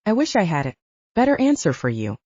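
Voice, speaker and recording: A woman's voice: fairly low pitch, wildly swooping pitch, a little fast, darkish tone, no echo, muffled recording. A woman voicing a loyal, brash female best friend, protective and encouraging, in a romance audiobook. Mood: consoling. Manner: encouraging, blunt. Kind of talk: audiobook romance character acting.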